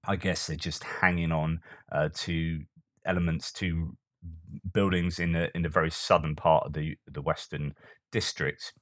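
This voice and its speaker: A man's voice: a bass voice, flat delivery, a little slow, fairly bright tone, dry recording, clean studio sound. A man commenting on war news in a casual, thinking-aloud way, with frequent fillers and restarts.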